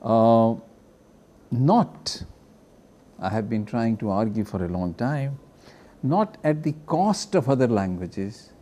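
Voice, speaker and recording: Deliberate, mellow-voiced academic man. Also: mid-range voice, expressive. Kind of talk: interview.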